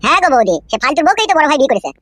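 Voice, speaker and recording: A man's voice: extremely high pitch, sing-song, rapid-fire, background noise. A man voicing a nervous gossiping village man in a comedy cartoon, confiding and grumbling in a rural West Bengal accent. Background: music.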